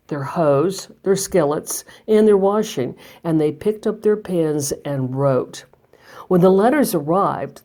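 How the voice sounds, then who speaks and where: A woman reading a children's picture book aloud as a library storytime reader, expressive and emphatic, pausing for effect. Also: fairly low pitch.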